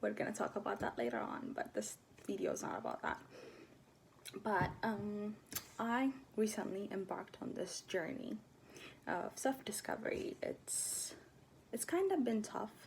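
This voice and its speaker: Slow, gravelly-voiced young woman vlogger. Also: medium pitch, sing-song, slight background noise, poor audio. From Ethiopia. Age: 20s. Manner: soft-spoken and hesitant, many fillers, drops to near-whispers. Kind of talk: casual personal talk to camera.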